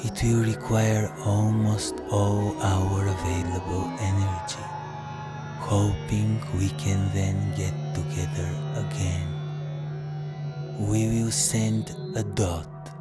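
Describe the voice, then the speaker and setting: A solemn, weary ancient space wanderer , played by a man, speaks in a science fiction audio drama. The voice is low and very slow, measured throughout, with loud music behind it. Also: flat delivery. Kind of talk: scripted science fiction monologue.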